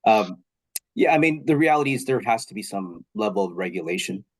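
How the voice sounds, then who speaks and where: A man with a slightly nasal voice, a bit under the weather, answering a webinar panel question over a video call in a measured way, with many fillers and restarts. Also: on the low side, expressive, breathy edge, dry recording, low-quality recording. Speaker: state technology official on a panel.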